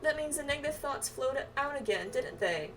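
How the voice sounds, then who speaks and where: Woman reading as a high-voiced, earnest, caring game companion. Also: animated, normal speed, fairly bright tone, a little hiss or hum. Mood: concerned. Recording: distant mic, music behind.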